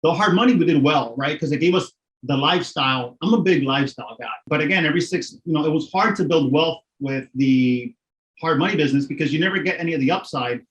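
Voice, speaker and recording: A man's voice: fairly high pitch, fast talker, poor audio. A middle-aged man from Miami, a real estate investor speaking as an interview guest, candid and matter-of-fact, often adding "right?". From Miami, Florida. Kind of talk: casual interview talk.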